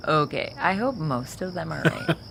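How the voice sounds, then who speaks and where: A woman playing a grieving, wry hospice nurse in a naturalistic audio drama, confiding in a halting, candid way. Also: deep voice, lively intonation, gravelly, noisy background. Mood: wry, soft. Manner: halting, confiding, dry-humored.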